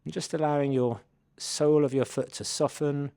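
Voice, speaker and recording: A man leading a guided body scan meditation, giving gentle, unhurried invitations as a mindfulness instructor. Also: on the high side, fairly even intonation, vocal fry, smooth, no room sound, studio quality.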